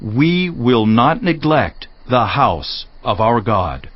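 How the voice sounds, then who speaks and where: A man's voice: medium pitch, sing-song, slow. A man giving a formal, declarative scripted reading of scripture for a dramatized audiobook. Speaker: audiobook reader. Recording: slightly dull, lo-fi audio.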